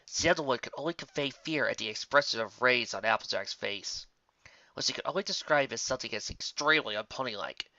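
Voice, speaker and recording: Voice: mid-range voice, brisk, pushed and bright, no echo. A narrator reading scripted story prose aloud with a dramatic storytelling manner. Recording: slightly muffled close dry recording.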